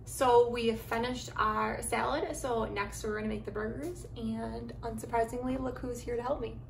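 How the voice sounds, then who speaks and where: Echoey, higher-voiced American woman, chatty. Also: steady tone, normal speed, recorded from a distance. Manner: chatty, informal. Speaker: home cooking vlogger. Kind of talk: casual chatty cooking demonstration. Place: home kitchen, some parts in a backyard garden. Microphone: phone or camera at arm's length. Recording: echoey kitchen, clear background noise.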